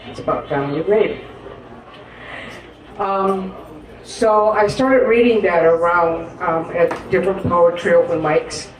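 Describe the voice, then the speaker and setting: A woman poet speaking on stage in an earnest, halting way, with many pauses and fillers. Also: on the low side. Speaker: poet and guest. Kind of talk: personal storytelling in a live interview. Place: live stage event in an echoey room. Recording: echoey room from a distance.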